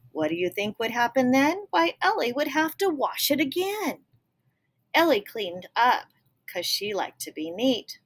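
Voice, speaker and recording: A woman reading a picture book aloud expressively, pausing to explain and put questions to young listeners. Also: a little high, breathy edge. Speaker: read-aloud storyteller.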